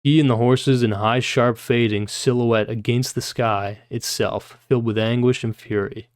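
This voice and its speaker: A man's voice: medium pitch, steady tone, normal speed, smooth, no room sound, studio quality. A man livestreaming, reading a novel aloud and breaking off into informal, puzzled asides with some swearing.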